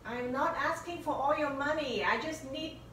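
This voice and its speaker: A squeaky, shrill pizza delivery man, asking for his money in a skit. His bright voice sounds far from the mic in a very echoey space. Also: moderate pace, a little hiss or hum. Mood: deadpan.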